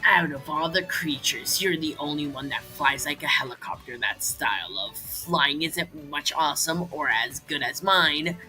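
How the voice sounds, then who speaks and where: A man's voice: fairly high pitch, a little slow, hardly any pauses, bright, forceful tone, soft background music, low-quality recording. A man voicing a cocky, boastful, foul-mouthed dragon in an amateur cartoon dub, with a brash manner. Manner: brash, bragging. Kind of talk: amateur comic dub character acting.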